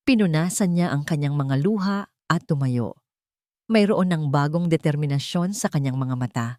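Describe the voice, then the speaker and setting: A woman's voice: on the low side, darkish tone, no echo, studio quality. A woman with a Filipino accent reading a scripted romance story as a dramatic narrator.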